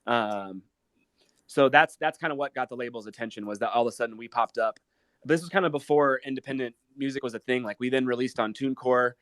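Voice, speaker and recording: A man with an American accent chatting casually as a musician guest, in an earnest, halting, appreciative way. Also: medium pitch, a bit bright, no echo. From Ohio, United States. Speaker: guest musician, guitarist and pop artist. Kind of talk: casual live interview chat.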